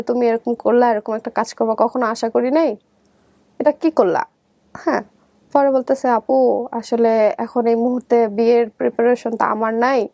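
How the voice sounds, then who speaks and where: A young Bangladeshi woman with a high voice, recorded close and dry. She tells her life story conversationally, slipping into quoted dialogue as she goes. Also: vocal fry, a little mellow, no echo. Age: young adult. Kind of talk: personal storytelling in an interview.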